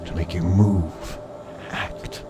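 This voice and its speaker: A man voicing an ancient, proud and coaxing entity of immense power in an audiobook, speaking in a deliberate, grandiose way. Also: low-pitched, steady tone, music in the background, voice pushed down. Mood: coaxing, solemn. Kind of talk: audiobook character voice.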